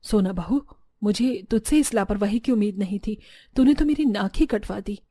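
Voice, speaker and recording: A woman's voice: medium pitch, normal speed, dark tone, dry recording, close to an everyday voice. A woman playing female relatives in a cartoon family drama, with a melodramatic manner. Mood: reproachful. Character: women of a cartoon family, sisters and elders. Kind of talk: Hindi cartoon story dialogue.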